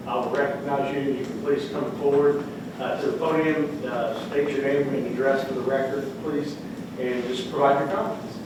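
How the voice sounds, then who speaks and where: A man's voice: mid-range voice, fairly even intonation. Man chairing a board hearing, polite. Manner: polite, halting, apologetic. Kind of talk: chairing a meeting. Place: school board meeting room. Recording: echoey hall, distant mic, noisy.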